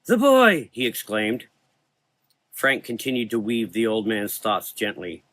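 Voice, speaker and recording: A man's voice: on the low side, a little slow, a bit bright, dry recording. Dry-sounding male gothic horror narrator.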